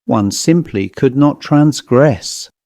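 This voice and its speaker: A man's voice: medium pitch, expressive, takes it slowly, darkish tone, no echo, studio quality. A man voicing an earnest, shaken male explorer in an audiobook horror story, hesitant and grave as he recounts eerie things. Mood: grave, insistent.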